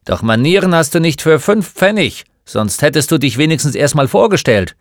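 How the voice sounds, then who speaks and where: A gruff old storybook shopkeeper, performed by a man, who fires off blunt, mocking questions. The voice is forceful and swings upward from a grumble, recorded very dry. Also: a little high, big pitch swings, normal speed, no room sound, voice pushed up. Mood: gruff, curt. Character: gruff, mocking old male bookshop keeper. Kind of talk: storybook character voice.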